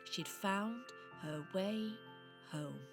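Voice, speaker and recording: A woman narrating a scripted children's radio play expressively, with dramatic pauses. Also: mid-range voice, music in the background.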